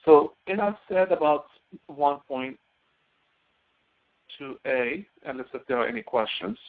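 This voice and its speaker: A man teaching a live software tutorial as a course instructor, explaining things step by step, heard through narrow phone-like audio. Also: on the high side, lively intonation, measured pace.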